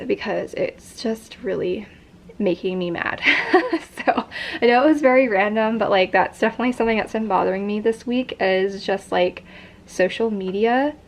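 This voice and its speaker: Chatty young woman with a stuffy nose. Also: mid-range voice. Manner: chatty, many fillers like "like" and "um". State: sore throat. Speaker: vlogger. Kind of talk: casual chat.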